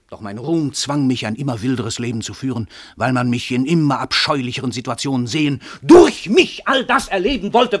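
Radio play casting: a cynical, domineering celebrity writer-killer, emphatic orator, slightly rough tone, close dry sound. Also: male voice, medium pitch, fairly bright tone, no room sound, full character voice. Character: world-famous male crime writer, arrogant, cynical confessed murderer. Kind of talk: theatrical German radio drama monologue.

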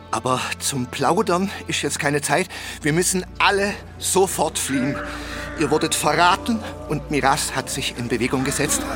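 An actor playing a kindly, learned old half-dwarf tutor who stammers and fusses theatrically in a German children's audio drama. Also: male voice, medium pitch, lightly acted. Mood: flustered, urgent. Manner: flustered, chuckling, stammering, theatrical.

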